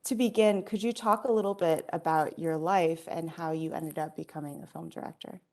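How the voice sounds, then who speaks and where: A woman's voice: sits low, steady tone, normal speed, darkish tone. A woman hosting an interview, reading a polite, formal introduction and asking questions. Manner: polite, formal, reads from notes. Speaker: host, research assistant.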